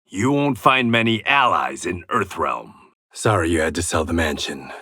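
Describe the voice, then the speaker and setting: An actor playing a proud, resolute blind swordsman in a video game, with a husky voice and a terse, defiant delivery. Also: deep voice, expressive, normal speed, bright, forceful tone, exaggerated voice. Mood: dry banter. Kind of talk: video game combat voice lines.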